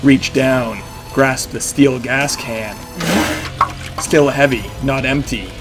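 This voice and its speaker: A man's voice: mid-range voice, takes it slowly, lightly acted. An actor playing a battered vigilante who narrates his own story in intense, clipped phrases, in the style of an audio drama. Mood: grim, tense. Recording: music behind the voice. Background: dramatic music.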